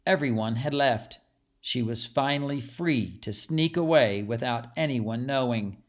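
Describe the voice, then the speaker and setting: A man reading a story aloud as a scripted audiobook narration. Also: mid-range voice.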